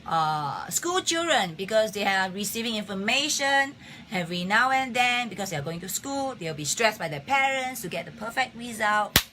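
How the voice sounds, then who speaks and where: A woman with a husky voice hosting a casual live-streamed health talk, chatting and greeting viewers by name between points. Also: medium pitch, very animated, normal speed, background noise. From Singapore. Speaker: health talk show host. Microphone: phone or webcam on a live stream.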